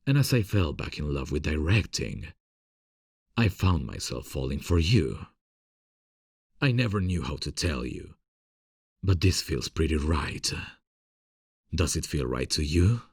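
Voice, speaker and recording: A man playing a gentle, earnest theatre director in love, in an intimate ASMR romance roleplay, speaking in a tender, confiding, hesitant way with a husky voice. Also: a bass voice, very animated, a little slow, pauses often, no room sound, studio quality, exaggerated voice. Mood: tender confession.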